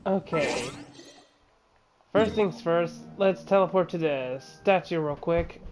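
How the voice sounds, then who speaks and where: A man livestreaming a game with casual, somewhat halting commentary. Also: a little high. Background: game music.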